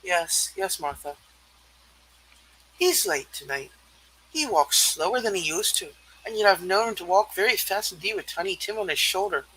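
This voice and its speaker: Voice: mid-range voice, expressive, moderate pace, sharp tone, breathy edge, poor audio. An actor playing a sorrowful, protective mother and wife in a radio play reading, in a subdued manner.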